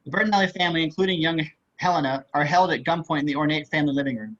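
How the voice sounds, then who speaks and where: A man's voice: a little high, racing, fairly bright tone. A man reading stage directions as scripted narration in a screenplay table read. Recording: slightly dull video-call audio.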